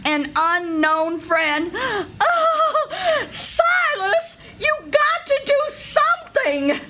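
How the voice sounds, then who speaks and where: A shrill, excitable country aunt. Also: female voice, drawn-out words, full character voice. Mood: alarmed, frantic. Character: excitable, scolding country aunt, older woman, easily scandalised. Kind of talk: old-time radio play acting on stage. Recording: live stage recording with faint noise.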